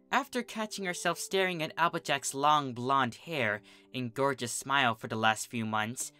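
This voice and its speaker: Animated story narrator w a bright voice, reading fanfic, music behind. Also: mid-range voice. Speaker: fan fiction reader.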